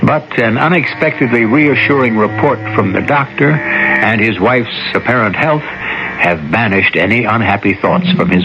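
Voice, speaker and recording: A man narrating a 1970s radio horror drama as its host, in a measured, theatrical, ominous scripted style. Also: on the low side. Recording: muffled old radio broadcast. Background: dramatic music.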